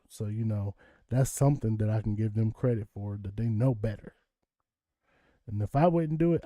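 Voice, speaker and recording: Southern US man, low and very mellow voice, loose rambling vlog monologue. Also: no echo, studio quality. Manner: rambling, chuckles often. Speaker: vlogger. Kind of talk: casual monologue.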